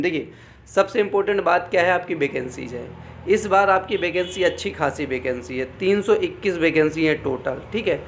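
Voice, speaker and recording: Higher-pitched man explaining informally. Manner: repetitive, instructive, checks in with "okay" often. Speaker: exam-coaching presenter. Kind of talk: informal explainer talk, unscripted.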